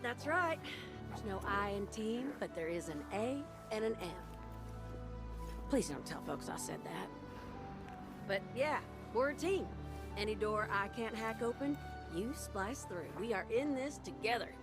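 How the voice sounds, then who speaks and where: A woman voicing a plucky, tough, warm-hearted pilot in a video game, with a folksy, sincere manner. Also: mid-range voice, expressive, unhurried. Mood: friendly, upbeat. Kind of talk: game voice acting. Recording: clear music bed behind the voice.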